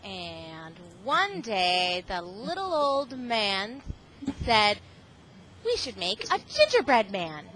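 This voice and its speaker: A woman's voice: medium pitch, big pitch swings, slow, a bit bright, a little hiss or hum. A middle-aged woman reading aloud to a class of children over a video call, exclamatory and often asking them questions. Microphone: video call microphone.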